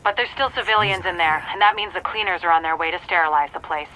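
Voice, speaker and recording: A woman's voice: on the low side, fast talker, bright, forceful tone, poor audio. A woman playing a firm, urgent field commander giving a businesslike mission briefing in a video game. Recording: faint radio noise and ambience.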